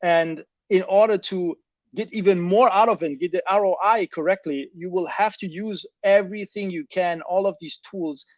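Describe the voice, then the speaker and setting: Male podcast host, high-pitched, emphatic explainer who repeats himself, muffled low-fidelity sound. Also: moderate pace, no room sound. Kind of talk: explanatory business discussion. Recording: dull, dry lo-fi audio.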